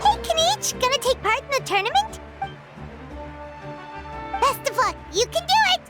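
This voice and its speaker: A very high, squeaky little fairy. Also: female voice, very animated, moderate pace. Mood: excited. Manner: bubbly, exclaiming. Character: small cheerful childlike fairy companion, eager and chatty. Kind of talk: video game voice acting. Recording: music playing behind the voice.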